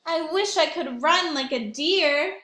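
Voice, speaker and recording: A woman's voice: sits high, animated, a little slow, pushed and bright, echoey room, voice pushed up, heavily acted. A woman voicing a wishful, discontented little chameleon from a picture book, in an emphatic, longing way.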